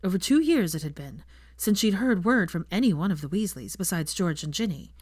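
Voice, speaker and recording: A woman's voice: on the low side, animated, a little fast, darkish tone, slightly rough, dry recording, clean studio sound. A woman narrating a scripted story as an audiobook reader. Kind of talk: scripted fiction narration with character voices.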